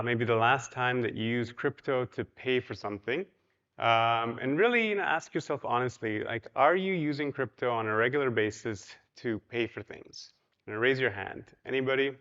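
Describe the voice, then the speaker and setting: A man's voice: mid-range voice, fairly bright tone, smooth, lo-fi sound. A man from Poland giving a casual, chatty conference talk, with many ums and you-knows, and questions put to the audience. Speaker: conference speaker. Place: large hotel ballroom.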